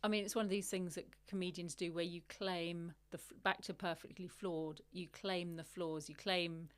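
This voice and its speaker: A woman's voice: lowish voice, fairly even intonation, moderate pace, clean tone. A woman hosting a podcast, asking reflective questions in a casual interview chat. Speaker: podcast host.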